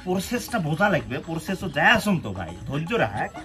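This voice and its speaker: Man acting fast, high-pitched pushy network-marketing recruiter. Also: big pitch swings, a bit bright. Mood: persuasive. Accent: northern Bengali regional dialect. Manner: repetitive, badgering for agreement. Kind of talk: comedy sketch acting. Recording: music laid under the dialogue.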